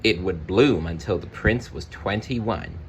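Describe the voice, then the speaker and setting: A man reading a children's story aloud, with expressive, deliberate narration and the odd personal aside. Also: low-pitched, creaky, a little hiss or hum, poor audio. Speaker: storybook reader.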